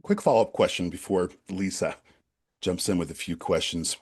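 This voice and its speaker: A man's voice: low voice, normal speed, husky. A man co-hosting a podcast who asks enthusiastic, long follow-up questions. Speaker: podcast co-host. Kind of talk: interview hosting.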